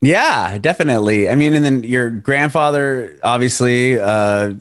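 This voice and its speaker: A man's voice: mid-range voice, expressive, unhurried. A man hosting a casual interview over a video call, informal and appreciative in manner. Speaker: interviewer, host.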